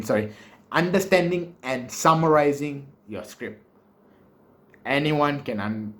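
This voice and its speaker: A young man in his twenties speaks with a Malaysian English accent, coaching viewers on speech writing in a loose, playful way. He talks into a close camera mic in a small bookshelf-lined room, jokes as he goes, and slips off topic now and then. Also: medium pitch. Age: 20s. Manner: animated, joking, big hand gestures, wanders off topic. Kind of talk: casual vlog lesson. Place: small bedroom with bookshelves, indoors.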